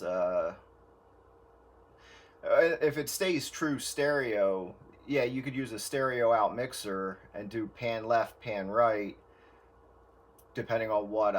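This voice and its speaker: Man, fairly low voice, informal explainer in a technical radio chat. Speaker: audio gear hobbyist giving advice.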